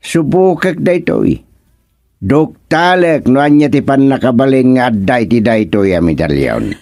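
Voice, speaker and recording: A man playing a confident, jolly king in a radio comedy drama, speaking theatrically with drawn-out exclamations. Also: mid-range voice, very animated, a little mellow, dry recording, clean studio sound. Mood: reassuring, confident. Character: confident, jolly king going hunting with a medallion.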